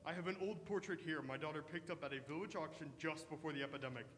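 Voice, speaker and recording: Man acting a desperate high-voiced vampire hunter. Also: fast, fairly bright tone, natural voice. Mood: anguished, insistent. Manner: urgent, emphatic, theatrical. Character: desperate father obsessed with destroying a vampire. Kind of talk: old-fashioned radio melodrama acting. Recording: echoey hall with faint noise.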